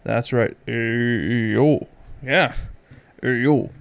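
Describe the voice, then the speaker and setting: A man's voice: mid-range voice, extremely slow, creaky, highs cut off. A man hosting a casual pop culture news podcast, emphatic, sarcastic and given to swearing. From Canada. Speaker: podcast host.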